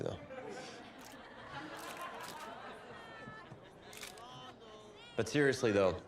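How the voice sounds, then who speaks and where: A low, gravelly male voice as a proud young football player in a video game story. He is confident and pushy, with a faint bed of noise behind him. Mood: sincere. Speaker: actor in a football game's story scenes. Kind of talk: scripted dramatic dialogue.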